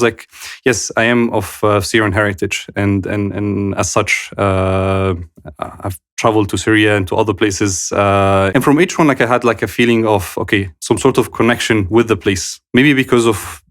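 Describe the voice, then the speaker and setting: A young adult man from Switzerland, speaking as a guest in a casual interview, halting, with many fillers and restarts. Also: on the low side, no room sound, studio quality.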